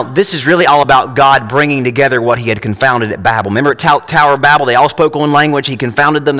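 A man's voice: medium pitch, pushed and bright. A man preaching a conversational sermon, his voice rising with emphasis when he reads scripture. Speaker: pastor. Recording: long hall reverb.

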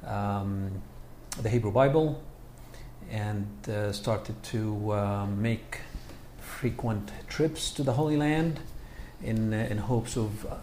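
A man from Egypt, a scholar of Islam, answering interview questions with many pauses and fillers. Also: fairly low pitch, mellow, roomy sound, slight background noise. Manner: halting, many fillers and restarts.

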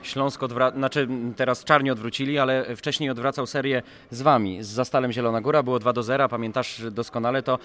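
A man's voice: mid-range voice, steady tone, a little fast, few pauses, fairly bright tone. Male sports podcast host, middle-range voice, interview and recap delivery.

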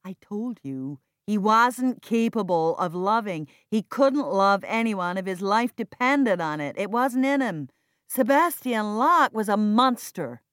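A woman's voice: medium pitch, lively intonation, unhurried, a bit bright, no echo, studio quality, voice pushed up. A woman playing a cold, flippant and bitter grown son in an audiobook drama, with a detached, sardonic and dismissive manner.

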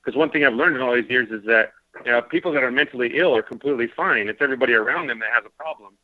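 A man's voice: medium pitch, rapid-fire, no echo. A man hosting a casual radio talk show, talking in earnest, rambling runs. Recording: tinny phone-like radio audio, dry.

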